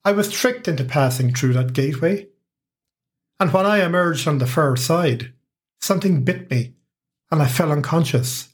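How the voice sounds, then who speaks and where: A man's voice: fairly high pitch, moderate pace. A man in his forties with an Irish accent, narrating a scripted first-person story as podcast host with a wry, humorous touch.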